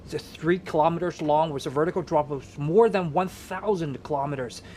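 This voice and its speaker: A man's voice: a little high, brisk, a little mellow, a little hiss or hum. A man appearing as a guest on a live talk show, explaining sports at length with many hesitations. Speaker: guest skiing enthusiast.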